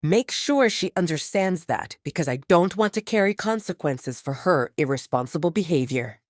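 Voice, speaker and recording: Woman doing a stern, low-voiced male pack alpha. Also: animated, moderate pace, no echo, studio quality, natural voice. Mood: firm warning. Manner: stern, authoritative.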